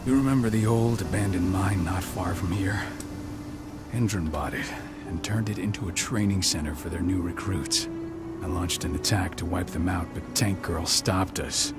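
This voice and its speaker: A man playing a stern, weary pack leader in a video game, speaking gravely and with measured authority. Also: low voice. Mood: grim. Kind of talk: video game cutscene acting. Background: music.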